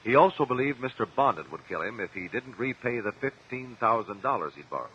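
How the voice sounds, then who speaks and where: An actor playing a sharp, persistent defense lawyer in a vintage American radio drama, speaking formally and articulately. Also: male voice, mid-range voice, expressive, brisk, a little hiss or hum, natural voice. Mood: probing. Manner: articulate, pressing, courtroom formality. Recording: dull vintage radio broadcast.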